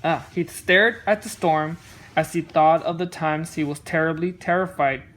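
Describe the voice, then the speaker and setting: A woman reading a children's story aloud and offering casual, gentle encouragement as a parent. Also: deep voice, normal speed. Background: music.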